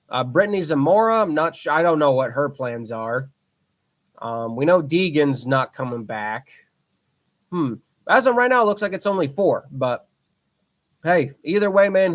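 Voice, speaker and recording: A man in his twenties hosts a casual podcast by himself. His voice sits a little on the high side. He rambles with plenty of ums and restarts, and he talks with a fan's eager enthusiasm. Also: a bit bright. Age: 20s. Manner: rambling, frequent fillers and restarts; enthusiastic fan talk. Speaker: podcast host. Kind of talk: casual solo podcast chat.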